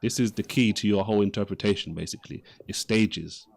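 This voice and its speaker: A man's voice: lowish voice, brisk, vocal fry. A man arguing in an unscripted live debate as its host, insistently repeating and restarting his phrases.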